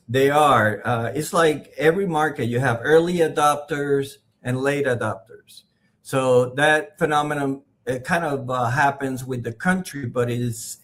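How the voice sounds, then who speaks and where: Measured Puerto Rican man answering interview questions. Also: medium pitch. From Puerto Rico. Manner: measured, pausing with fillers, non-native phrasing. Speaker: podcast guest.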